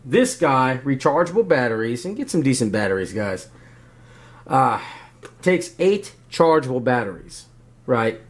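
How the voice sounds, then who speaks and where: A man's voice: medium pitch, sing-song, a little slow. A man talking casually and unscripted as he demonstrates gear and gives advice, often checking in with "right?" and "you know". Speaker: video host demonstrating radio gear.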